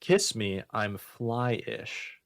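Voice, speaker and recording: A man's voice: fairly low pitch, lively intonation, drawn-out words, creaky, fairly bright tone, no room sound. A man hosting a radio comedy show, reading jokes and bantering casually with dry, mock-serious commentary. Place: radio studio.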